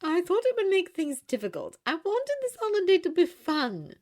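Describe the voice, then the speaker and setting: A woman's voice: very high-pitched, huge pitch swings, moderate pace, darkish tone, voice pushed up, exaggerated voice. A woman voicing a reserved, guarded young woman in a storybook reading, speaking in short, terse lines. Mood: wry.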